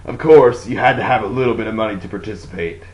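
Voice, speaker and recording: A man's voice: on the low side, quick pace, natural voice, rough recording. A man playing a smug, reckless Russian novelist with a gambling habit in a comic puppet show.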